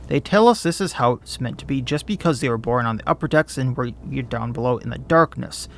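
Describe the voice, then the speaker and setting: A man's voice: mid-range voice, expressive, fairly quick, gravelly, fairly bright tone, slight background noise. A man reading aloud as a fiery male rebel agitator stirring up downtrodden workers with impassioned, accusing speeches. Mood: indignant.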